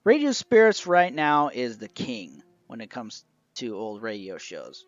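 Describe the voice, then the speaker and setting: A man's voice: mid-range voice, huge pitch swings, measured pace, no echo. Guy doing a casual collection review voiceover, kinda dull muffled recording, music in the back. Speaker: reviewer of an old radio show collection. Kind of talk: informal review narration.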